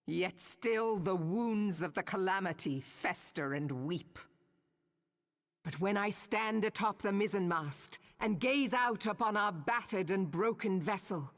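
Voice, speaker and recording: An actress voicing a proud, solemn seafaring woman admiral in a video game, giving a measured, declamatory ceremonial speech. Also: mid-range voice. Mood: grave, rousing. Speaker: military leader addressing followers. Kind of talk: rousing ceremonial oration, video game voice acting. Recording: long hall reverb.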